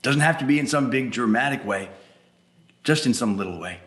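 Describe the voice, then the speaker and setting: A man hosting a children's story hour, talking conversationally to young listeners and gently repeating phrases for emphasis. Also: medium pitch, lively intonation, a little fast, reverberant. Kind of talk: warm-up introduction addressed to children.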